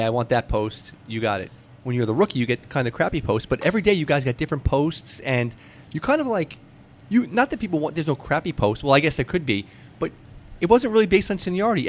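A man hosting an interview, asking informal, rambling questions in a casual conversational style. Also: medium pitch. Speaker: podcast interviewer, host. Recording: clearly noisy background.

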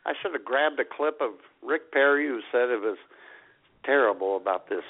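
A man's voice: medium pitch, expressive, moderate pace. A man co-hosting an internet radio show, trading wry, mocking banter about the news over a thin, phone-like sound.

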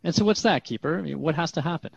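A man's voice: a little high, rapid-fire, creaky, breathy edge, no room sound. Man acting inquisitive radio host, earnest, conversational, radio drama, dry muffled studio sound. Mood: curious. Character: earnest, curious male radio host questioning a spirit guest. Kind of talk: scripted radio drama as talk show.

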